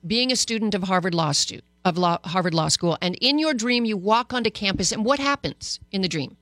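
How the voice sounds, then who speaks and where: A woman radio host giving advice to callers in an upbeat, encouraging way. Her voice sits at a middling pitch and sounds close and dry, with no room echo. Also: brisk, a bit bright, soft background music. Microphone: studio microphone.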